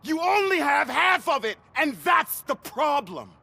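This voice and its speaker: A man playing an indignant gang thug in a video game, loud and pompous in his menacing talk. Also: very high voice, big pitch swings, a little slow, vocal fry, no room sound, full character voice. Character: indignant male gang thug lecturing on market economics.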